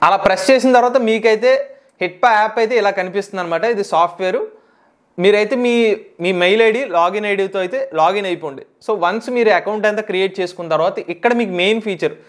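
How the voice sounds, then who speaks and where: A man with a Telugu accent hosting a step-by-step software tutorial, instructional and mixing in English tech terms. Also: sits high, quick pace. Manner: instructional, mixes English tech words into speech.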